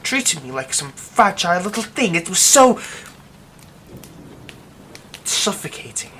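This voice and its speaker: A man's voice: sits high, measured pace, heavily acted. A man playing a secretive werewolf friend. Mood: bitter. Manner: hushed, often dropping to a whisper. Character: secretive young man hiding a werewolf curse. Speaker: ASMR roleplay voice actor. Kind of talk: ASMR roleplay drama. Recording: steady rain noise behind the voice.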